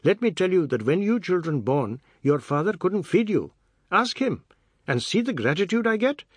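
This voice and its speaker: A man narrating puts on a middle-aged bully of an uncle from a storybook. His voice rides high and swings widely, husky and sneering. He boasts and taunts in colloquial grammar, all jovial menace. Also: sing-song, normal speed, no room sound, heavily acted. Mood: aggrieved, taunting. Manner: non-standard colloquial grammar, taunting rhetorical questions.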